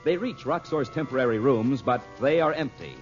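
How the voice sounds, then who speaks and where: A man's voice: medium pitch, animated, normal speed. A man narrating the recap of an old radio serial with dramatic announcer delivery. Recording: dull old radio recording with music.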